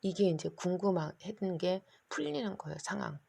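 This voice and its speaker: A middle-aged woman vlogging to her phone in a rambling, anxious monologue, her voice hoarse and her throat catching. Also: on the low side, animated, normal speed, dark tone. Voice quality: gravelly, husky. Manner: rambling, anxious, talks in long run-on stretches. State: hoarse, keeps clearing throat. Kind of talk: casual monologue to camera.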